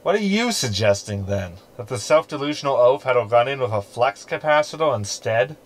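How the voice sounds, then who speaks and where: An actor playing a sarcastic, flippant ship computer hologram with a wry, chatty, teasing manner in a sci-fi comedy audio drama. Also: male voice, medium pitch, expressive, brisk, lightly acted. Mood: mocking.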